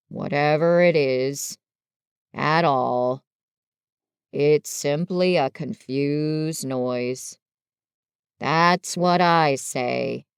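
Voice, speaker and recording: A woman's voice: deep voice, clear, resonant tone, no echo, studio quality, voice pushed down. A woman voicing a gloomy, put-upon old donkey in a children's story, grumbling in a dreary, resigned way. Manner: slow, dreary, resigned complaining. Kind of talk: children's storybook character reading.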